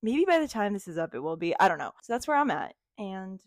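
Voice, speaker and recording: A woman's voice: medium pitch, sing-song, quick pace, dry recording, clean studio sound. A young American woman co-hosting a podcast, chatting casually in a bubbly, giggly way with girl-talk slang. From Miami, United States. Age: young adult. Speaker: podcast co-host. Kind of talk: casual chat.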